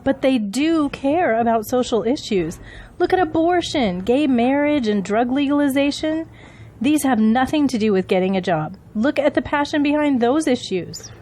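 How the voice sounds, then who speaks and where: An actress playing a loyal, assertive political campaign aide in an audio drama. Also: female voice, high voice, expressive, moderate pace, dark tone. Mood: defensive, passionate.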